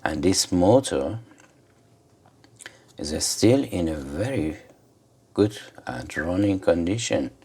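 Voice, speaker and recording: A man giving an informal hands-on commentary for a repair video, speaking haltingly in short phrases with pauses. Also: low-pitched, expressive, darkish tone, husky. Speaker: host of an electronics repair video.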